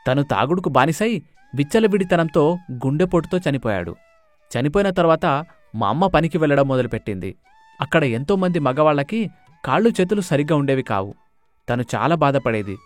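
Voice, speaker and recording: A man's voice: a little high, lightly acted. A man playing an earnest, firm young husband who is protective of his family in a cartoon moral story.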